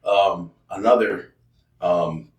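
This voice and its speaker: A man interviewing a musician in casual chat, enthusiastic and full of quick agreements. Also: deep voice. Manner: enthusiastic, frequent short agreements. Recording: roomy sound, distant mic.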